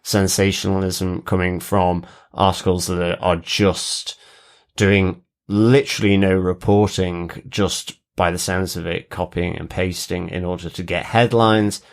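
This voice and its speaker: Low-voiced male podcast co-host. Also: flat delivery, a little slow. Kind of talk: casual opinionated chat.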